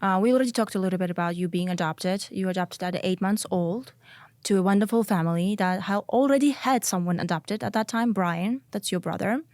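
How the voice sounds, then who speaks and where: A woman's voice: medium pitch, a little fast, darkish tone, no echo. A woman hosting a podcast, asking questions and summarizing in a conversational interview style. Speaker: podcast host.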